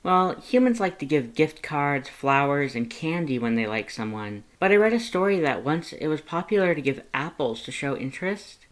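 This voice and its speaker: Young human for an audio story: polite, inquisitive, dry, light high pitch narrator acting it out. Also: normal speed, hardly any pauses, natural voice. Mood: curious, helpful. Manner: deadpan, inquisitive. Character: polite young human, curious, deadpan, slyly knowing.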